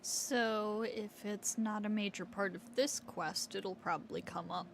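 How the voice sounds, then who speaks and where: A woman's voice: medium pitch, steady tone, unhurried, gravelly, slight background noise. A woman game streamer giving casual live commentary on what she is playing.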